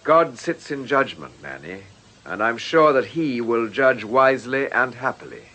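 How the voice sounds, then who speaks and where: A man playing a gentleman police inspector in a radio drama, polite and measured in his questioning, with a dry wit. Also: mid-range voice. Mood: grave. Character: courteous, probing, wry gentleman police inspector.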